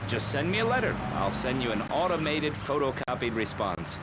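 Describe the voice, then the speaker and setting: An actor playing a smug, shameless politician making a pompous, supercilious campaign pitch for a satirical game radio show. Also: male voice, sing-song, moderate pace, heavy background noise, lightly acted. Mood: smug, electioneering.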